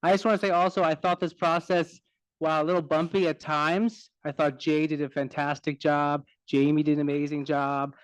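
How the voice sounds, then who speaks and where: A man chairing a community board meeting, calling on speakers and keeping time in a brisk, orderly way. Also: fairly high pitch, flat delivery, clean tone, dry recording, poor audio. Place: meeting hall.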